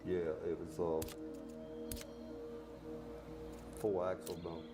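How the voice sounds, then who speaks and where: A man's voice: low-pitched, level tone, extremely slow. A man being deposed as a witness, giving short, hesitant answers. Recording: echoey room with music over it.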